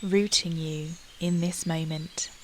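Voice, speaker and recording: A woman guides a meditation with a calm, soothing delivery. Her voice sits a little low and she speaks very slowly, leaving long pauses between phrases. Clear birdsong and outdoor nature ambience run behind her. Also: slight background noise.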